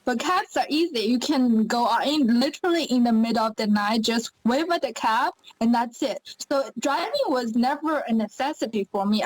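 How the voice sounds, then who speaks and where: A woman's voice: a little high, low-quality recording. A woman from Shanghai with a Chinese accent, speaking as an interview guest who explains things at length with frequent hesitations. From China (Shanghai). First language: Mandarin Chinese. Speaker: guest, linguist and cross-cultural communication expert. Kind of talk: casual interview talk.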